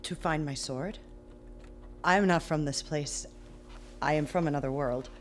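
A woman playing an agitated, earnest captive from another world in an audio drama, speaking formally and deliberately. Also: deep voice. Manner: formal, deliberate, avoids contractions.

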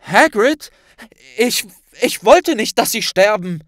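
A man's voice: sits high, lively intonation, takes it slowly, a bit bright, dry recording, voice pushed up, full character voice. A man voicing a distraught, guilt-ridden young wizard who stammers and pleads in an audiobook. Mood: remorseful.

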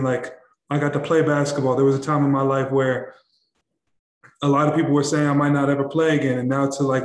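A young man, a basketball player, answering questions at a postgame press conference, halting and repeating words with many fillers. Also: medium pitch, a little mellow, roomy sound. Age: young adult. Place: press room in front of a backdrop.

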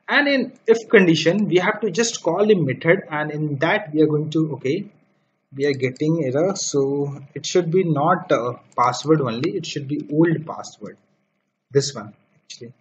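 A man's voice: on the high side, moderate pace. A man teaching programming over a screen recording, dictating code step by step with frequent fillers. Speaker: programming tutor. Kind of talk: screen-recorded coding tutorial. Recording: dull lo-fi mic with faint noise.

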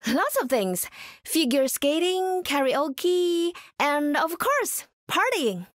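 An actress playing a kind, upbeat young scientist who talks like a caring aunt, with a gentle lilting manner. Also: female voice, sits high, very animated, slow pace, no room sound, studio quality, heavily acted. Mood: cheerful.

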